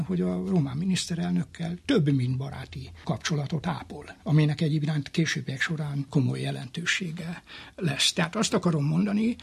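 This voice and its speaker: A man explaining history as an expert interview guest, in deliberate, formal academic phrasing. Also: fairly high pitch, creaky, slightly rough.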